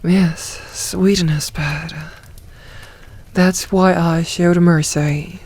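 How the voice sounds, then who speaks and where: Slow, teasing succubus demoness, played by a woman. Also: lowish voice, steady tone, a little mellow, husky, full character voice. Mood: candid, possessive. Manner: slow, soothing, coaxing, teasing. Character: possessive, teasing female succubus demoness, soft dominant. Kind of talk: ASMR audio roleplay.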